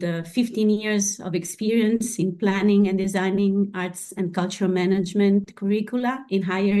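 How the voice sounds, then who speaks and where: Woman, webinar moderator, video call, hesitant, many fillers, mid pitch. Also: warm tone.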